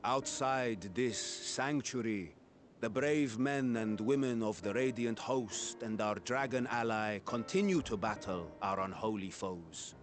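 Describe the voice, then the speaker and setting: A man's voice: fairly low pitch, measured pace, faint music behind, natural voice. An actor playing a composed male ruler in a video game cutscene, addressing frightened people in stately, formal speech. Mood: solemn, reassuring.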